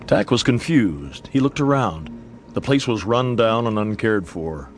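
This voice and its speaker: A man's voice: fairly low pitch, expressive, measured pace. A man narrating a dramatized Western story in scripted audiobook style.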